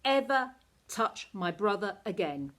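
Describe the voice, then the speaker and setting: A woman voicing a protective, hot-tempered girl in a storybook, urgent and forceful. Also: mid-range voice, very animated, measured pace. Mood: angry.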